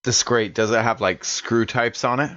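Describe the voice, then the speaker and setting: A man's voice: mid-range voice, fairly even intonation, normal speed, a bit bright, no echo, highs cut off. A man chatting casually as a player in a tabletop game, describing what his character does.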